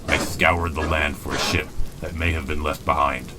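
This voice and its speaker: Very deep, weary robot commander. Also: male voice, little pitch movement, normal speed, over loud music. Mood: somber, weary. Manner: solemn, commanding. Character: weary, stoic male robot commander, grave and self-doubting. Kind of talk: dramatic comic dub narration and dialogue.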